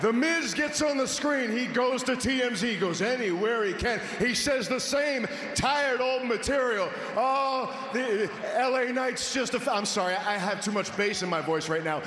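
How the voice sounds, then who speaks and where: A man in his forties cutting a cocky, shouted wrestling promo on a handheld mic in a large indoor arena. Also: very high voice, lively intonation, normal speed, few pauses. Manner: shouting, drawling, cocky swagger. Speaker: pro wrestler. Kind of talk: wrestling promo to a live crowd. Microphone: handheld microphone. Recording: echoey arena, crowd noise.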